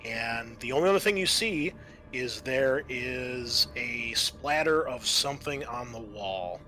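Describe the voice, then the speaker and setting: A man narrating a tabletop roleplaying game as game master, explaining things in a halting, improvised way. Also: mid-range voice, animated, bright, forceful tone, a little hiss or hum.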